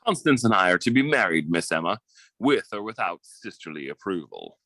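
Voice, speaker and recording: A man playing a smooth-talking, scheming suitor in an old-time radio melodrama, with a suave, theatrical and formal manner. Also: deep voice, sing-song, brisk, pushed and bright, dry recording, full character voice. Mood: calculating.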